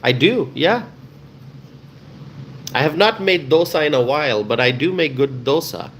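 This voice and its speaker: A man's voice: on the high side, lively intonation, unhurried, a bit bright, slight background noise. A man from Bangladesh hosting a casual livestream, reading out viewer comments and answering them, often repeating phrases for emphasis. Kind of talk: casual live chat with viewers.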